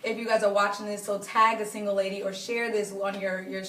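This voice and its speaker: A young woman with a hoarse, raspy voice, chatting earnestly with viewers during a live stream from her home. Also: mid-range voice, little pitch movement, fairly quick, bright, forceful tone, distant mic, slight background noise. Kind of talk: casual faith-based advice talk to viewers. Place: home living room. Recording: echoey room, voice off-mic.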